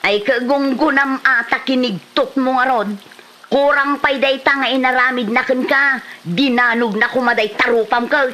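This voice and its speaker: A woman's voice: sits high, fairly even intonation, normal speed, pushed and bright, rough recording. A woman playing a prankster's exasperated, scolding wife in a village comedy drama, with an Ilocano accent and a nagging delivery. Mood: reproachful. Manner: nagging, reproachful.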